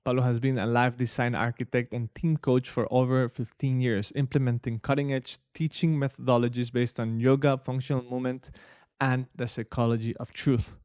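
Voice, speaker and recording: A man's voice: mid-range voice, little pitch movement, moderate pace, hardly any pauses, smooth, no echo, lo-fi sound. A man hosting an interview podcast, talking earnestly and with enthusiasm, often murmuring agreement while his guest speaks. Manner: earnest, enthusiastic, says hmm a lot. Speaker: podcast host. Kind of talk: casual interview. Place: home in Costa Rica.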